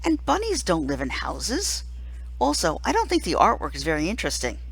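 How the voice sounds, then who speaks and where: A woman's voice: on the low side, huge pitch swings, a little fast, creaky, heavily acted, poor audio. A woman reading a storybook and voicing a blunt, precocious kindergarten girl who talks in an earnest, pedantic way. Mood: blunt, indignant. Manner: earnest, matter-of-fact, pedantic.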